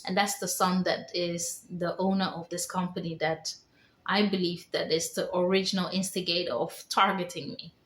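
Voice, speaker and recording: A woman's voice: fairly low pitch, a little hiss or hum. Earnest Dutch-accented woman, echoey room. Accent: light Dutch. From Netherlands. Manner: earnest, halting, with many pauses and fillers. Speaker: vlogger. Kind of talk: personal storytelling vlog.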